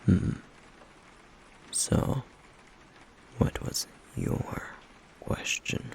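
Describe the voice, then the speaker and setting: A man playing a stoic, guarded flame demon in an ASMR roleplay, in a breathy, gravelly near-whisper with halting, measured pauses. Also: a little mellow, a little hiss or hum. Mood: wary, quietly curious.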